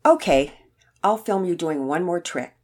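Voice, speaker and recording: A woman voicing a patient, kindly father who gently keeps the peace in a children's story. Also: on the low side, lively intonation, measured pace, husky.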